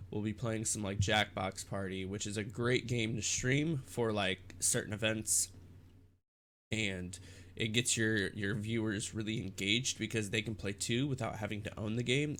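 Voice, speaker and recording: A man's voice: on the low side, a bit bright, slight background noise. Stuffy-nosed young man, rambling vlog talk. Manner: rambling, halting with many restarts and fillers. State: congested, stuffed-up nose. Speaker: streamer. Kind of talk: casual vlog talk to camera.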